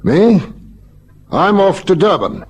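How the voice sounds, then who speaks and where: A methodical police lieutenant, very mobile intonation, polite but probing, old radio crime serial. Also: male voice, sits high, very animated, exaggerated voice. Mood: brisk, businesslike. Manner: inquisitive, brisk, methodical. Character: keen, courteous police lieutenant on a murder case. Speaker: actor in a radio play. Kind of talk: old-time radio detective drama acting.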